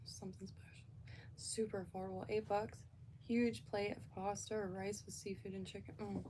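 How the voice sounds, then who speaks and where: A woman's voice: medium pitch, little pitch movement, moderate pace, warm tone, a little hiss or hum, rough recording. A woman from Florida, chatting casually and rambling on a live stream with lots of fillers and restarts.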